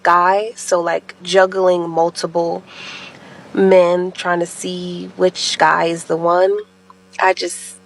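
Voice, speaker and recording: A woman with a lowish voice talks casually to camera. She is hesitant and often trails off mid-thought. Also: little pitch movement, slow, creaky, rough recording. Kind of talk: casual video confessional.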